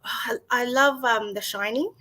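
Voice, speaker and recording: A woman's voice: a little high, unhurried, crowd noise. A woman in her thirties, a screenwriter guest on a video call, chatting casually, laughing often and acting out what people said. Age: 30s. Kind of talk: casual interview chat.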